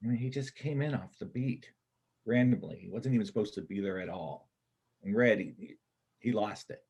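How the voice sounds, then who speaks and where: A man in a readers' theater play acting a wounded, rattled bank robber on the run, with a halting, stammering, rambling delivery. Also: lowish voice, darkish tone, slightly rough. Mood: anxious, urgent.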